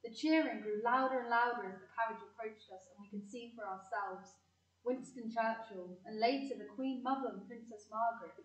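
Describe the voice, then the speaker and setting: Casting: woman as fond, lively childhood-memoir narrator, higher voice, a little distant from the mic. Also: a little fast, close to an everyday voice. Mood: fond storytelling. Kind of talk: scripted storytelling in audio play. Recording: echoey room, slightly distant mic.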